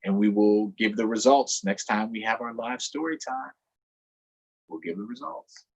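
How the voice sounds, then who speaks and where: A man's voice: fairly low pitch, moderate pace, dull audio, a little hiss or hum. A man hosting a storytime, reading to children and adding playful asides to the listeners. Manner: playful, addresses young listeners. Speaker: storytime host, reader. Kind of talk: animated children's read-aloud with asides.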